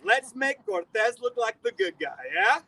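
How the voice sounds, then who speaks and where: A man's voice: extremely high pitch, normal speed, distant mic, noisy background. A man on a live outdoor stage, playing a smug man who boasts about a relic he stole, in a theatrical monologue.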